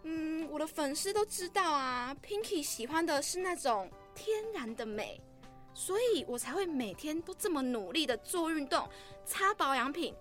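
A woman's voice: high voice, animated, normal speed, fairly bright tone, soft background music. A woman acting in a radio drama as a vain, bubbly young livestreamer, with cutesy, gushing sales patter. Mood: coy, defensive.

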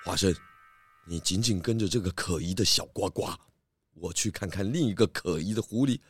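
A man voicing a sly, exacting pig detective with a husky voice in a children's radio play. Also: deep voice, normal speed. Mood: secretive.

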